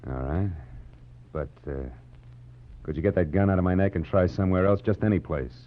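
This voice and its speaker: A man's voice: deep voice, brisk. An actor playing a tough, wisecracking American private detective in a 1940s radio drama, clipped and wry as he acts and narrates. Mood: cool, guarded. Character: tough wisecracking private detective, sardonic and cool under threat. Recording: muffled vintage 1940s radio recording, music underneath.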